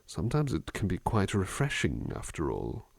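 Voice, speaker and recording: A man playing a gentle, reflective butler in a soft-spoken ASMR roleplay, musing slowly and thoughtfully, at times dropping into a near-whisper. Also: low-pitched, wildly swooping pitch, creaky, slightly rough, no echo, full character voice. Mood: darkly appreciative. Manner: hesitant, thoughtful, musing, unhurried. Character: gentle, reflective male butler reading poetry to his charge.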